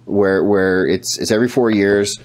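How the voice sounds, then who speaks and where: A man's voice: on the low side, steady tone, normal speed, a little mellow, a little hiss or hum. A man in his thirties with an American accent, chatting casually as a podcast host on a video call. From United States. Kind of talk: casual conversational interview.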